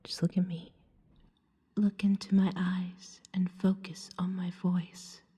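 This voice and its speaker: A woman playing a calm, seductive vampire in an ASMR roleplay, soothing and hypnotic, often slipping into a whisper. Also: lowish voice, slow, breathy edge, heavily acted.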